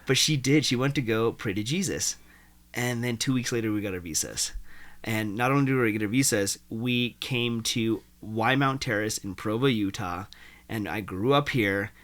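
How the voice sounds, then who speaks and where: A young man from India, a guest telling his life story in a casual interview, animated and full of "like". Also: fairly low pitch, normal speed. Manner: animated, uses "like" often.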